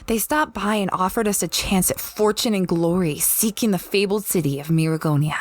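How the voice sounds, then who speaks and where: A woman's voice: lowish voice, fairly quick, no room sound, lightly acted. A woman playing an easygoing space explorer in an audio drama, with a casual, mild, musing delivery. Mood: reflective. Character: easygoing, peacekeeping female space explorer recalling past trips. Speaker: lead character and narrator. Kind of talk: audio drama narration and dialogue.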